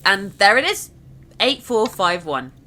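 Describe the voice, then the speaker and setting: A woman's voice: medium pitch, sing-song, unhurried, bright, forceful tone, soft background music. A woman narrating a video game walkthrough in a casual, chatty, conversational way. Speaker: gameplay narrator.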